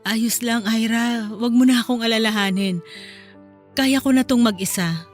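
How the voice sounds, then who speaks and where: A woman's voice: fairly high pitch, normal speed, faint music behind, natural voice. A woman playing a weary, grieving widowed mother in an emotional radio drama. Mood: evasive, weary.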